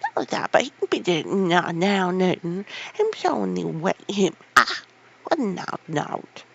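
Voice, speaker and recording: A reader voicing a furious old male gardener in a Yorkshire dialect, shouting and ranting in a storybook reading. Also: extremely high pitch, huge pitch swings, a little slow, gravelly, muffled recording, voice pushed up, heavily acted. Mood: outraged. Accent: Yorkshire dialect put on.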